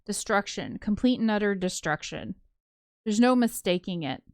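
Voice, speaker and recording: A woman's voice: medium pitch, expressive, normal speed, smooth, no room sound, clean studio sound. A woman reading a visual novel aloud, slipping into casual, chatty commentary with giggly asides. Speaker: co-host of a gaming show.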